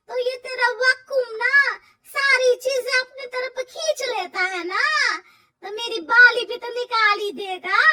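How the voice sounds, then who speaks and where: A woman voicing a stubborn old village mother-in-law in a cartoon story, exclaiming loudly in rustic speech. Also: extremely high pitch, exaggerated voice.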